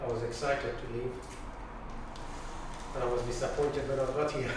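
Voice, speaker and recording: A man's voice: mid-range voice, little pitch movement, normal speed. A man in his fifties with an Iranian accent and Persian as his first language, recalling things in a reflective, conversational way as an interviewee. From Iran. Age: 50s. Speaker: interviewee in a documentary. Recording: distant mic, very echoey room, clear noise.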